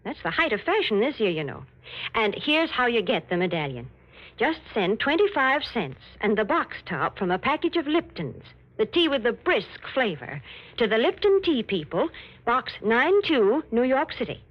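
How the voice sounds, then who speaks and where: A woman's voice: on the high side, big pitch swings, normal speed. An American woman reading a scripted 1940s radio advertisement in a chatty, emphatic sales style. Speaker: sponsor's announcer. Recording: muffled vintage radio recording.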